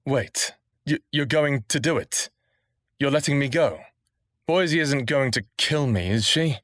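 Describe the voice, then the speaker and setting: A man's voice: mid-range voice, measured pace, a bit bright, no echo, studio quality, lightly acted. An actor playing a stubborn, lovestruck smartass of a human man in his thirties, narrating his own story in an intimate audio drama. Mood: stunned, grateful. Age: 30s. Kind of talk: first-person audio drama narration and dialogue.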